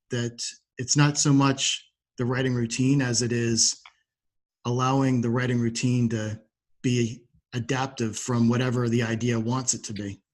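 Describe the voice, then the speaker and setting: A man hosts a casual interview in a mid-range voice. He is agreeable and thoughtful, feeling his way haltingly through his phrases. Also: mid-range voice. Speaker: podcast host.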